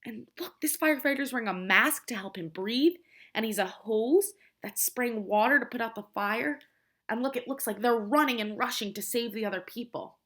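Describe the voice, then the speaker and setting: A woman teacher reading a picture book aloud to young children, explaining simply and prompting them with questions in an expressive way. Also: on the high side, normal speed. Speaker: preschool teacher.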